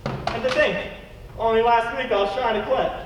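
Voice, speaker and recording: A man plays a falsely accused gentleman hero in a radio play. He is courteous, earnest and wry, with clipped phrasing. The voice sits far from the mic in a very reverberant hall. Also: extremely high pitch, steady tone, moderate pace. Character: plucky, polite gentleman fugitive, falsely accused. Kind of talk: stage-like radio play acting. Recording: distant, echoey hall, noisy.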